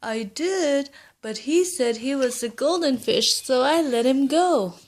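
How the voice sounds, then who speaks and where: A woman voicing a meek old grandpa in a bedtime story, in a high sing-song plea. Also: expressive, measured pace, darkish tone.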